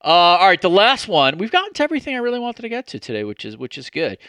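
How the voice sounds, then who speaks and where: A man's voice: high-pitched, huge pitch swings, racing, bright, forceful tone, no room sound, studio quality. A man hosting a casual sports podcast, rambling with many fillers. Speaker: podcast host.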